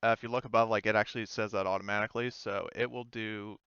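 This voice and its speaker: Guy game mastering a tabletop rpg, clear bright voice, thinks out loud and hesitates, close dry muffled-ish audio. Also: lowish voice, fairly even intonation, fast, no room sound. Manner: hesitant, thinking aloud while checking rules. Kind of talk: casual tabletop roleplaying game narration.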